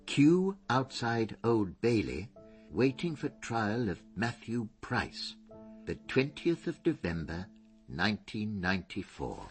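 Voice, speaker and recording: An actor playing an elderly former schoolmaster in a radio crime drama, precise and pedantic. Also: male voice, lowish voice, big pitch swings, takes it slowly, soft background music. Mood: precise, disapproving.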